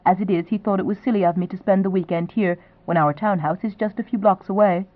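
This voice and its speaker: A woman's voice: mid-range voice, quick pace, no room sound, lightly acted. A woman voicing a young woman who chatters eagerly and gushes. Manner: eager, gushing, lively. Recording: tinny, phone-like sound.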